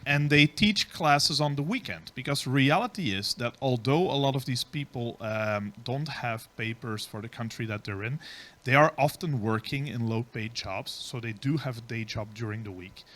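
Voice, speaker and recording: A man's voice: mid-range voice, a bit bright. Man giving a conference talk. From Belgium. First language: Dutch. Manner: explanatory, frequent ums. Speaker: conference speaker. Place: conference stage.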